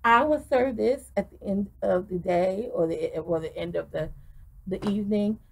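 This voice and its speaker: A middle-aged woman gushes through a casual wine review, her voice carrying a gravelly fry. She talks with lots of ums and restarts, recorded on a webcam in a small wooden room. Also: medium pitch, moderate pace, a little mellow. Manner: effusive, many ums and restarts.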